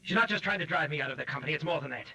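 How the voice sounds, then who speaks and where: A man's voice: medium pitch, lively intonation, racing, vocal fry, bright, forceful tone, exaggerated voice. An actor playing a proud, desperate male ballet star in an agitated, defiant 1940s radio style.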